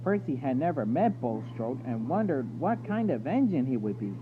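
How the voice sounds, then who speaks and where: A man's voice: mid-range voice, sing-song, moderate pace. A man reading a children's story aloud as narrator. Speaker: story reader. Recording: narrow phone-like sound with loud noise and hum.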